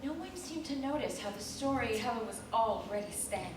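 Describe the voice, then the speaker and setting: A woman's voice: on the high side, expressive, a little fast. A woman giving a theatrical live story reading as part of an ensemble on stage, in fragmented, dramatic phrases. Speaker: reader in a staged literary performance. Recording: echoey live theater, distant, noisy.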